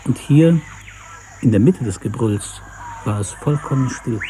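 A man reading a literary story as narrator in a measured, sober manner. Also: mid-range voice, animated, background noise.

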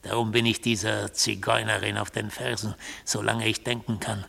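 Stern mystical orator, very gravelly, raspy, measured declamation, disdainful, radio play monologue. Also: male voice, lowish voice, flat delivery, pushed and bright, full character voice. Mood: grave, obsessive. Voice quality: gravelly, husky. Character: stern, mystical accused man, philosophising in court. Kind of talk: 1970s radio drama monologue.

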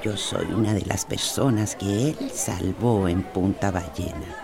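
A woman playing a kind, devout old villager in a radio drama, speaking slowly and reassuringly. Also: rumbling low, fairly even intonation, vocal fry, crowd noise, full character voice. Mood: gentle, consoling. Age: older. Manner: slow, reassuring.

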